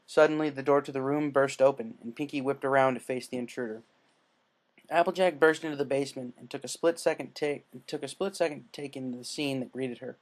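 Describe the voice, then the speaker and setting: A man's voice: mid-range voice, steady tone, brisk. A man casually introducing and narrating fan fiction as its reader.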